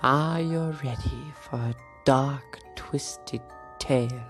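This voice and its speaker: A man's voice: mid-range voice, faint music behind, voice pushed down. A man voicing a sinister, theatrical old-time horror host narrating a suspenseful tale in an ominous manner. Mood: eerie, inviting. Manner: slow, ominous, hushed suspense.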